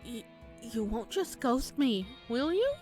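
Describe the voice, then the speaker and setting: A woman's voice: on the high side, very animated, takes it slowly, a little mellow, faint music behind. Woman voicing an awkward, eager young man, hesitant. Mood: pleading. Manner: hesitant, earnest, apologetic. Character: awkward, eager, easily wounded young man, a sweet creepy intruder.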